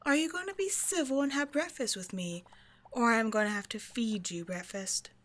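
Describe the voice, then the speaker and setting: A woman's voice: on the high side, expressive, moderate pace, a bit bright. Woman acting as a teasing, haughty vampire scientist. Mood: playful, teasing. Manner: playful, condescending, mock-sweet, theatrical. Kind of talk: scripted ASMR roleplay monologue addressed to the listener.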